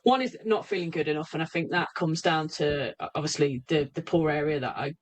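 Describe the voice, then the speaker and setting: A woman's voice: low voice, brisk. A woman, a hypnotherapist guest on a remote podcast, talking candidly and often restarting her sentences. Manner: candid, self-correcting, frequent restarts. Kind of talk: reflective interview talk. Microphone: remote call recording.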